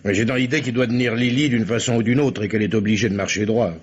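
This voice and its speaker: An actor playing an earnest, disgusted undercover policeman with a soft heart in an old French radio crime drama, speaking deferentially in a husky voice. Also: fairly low pitch, steady tone, fast talker. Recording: dull lo-fi old radio recording.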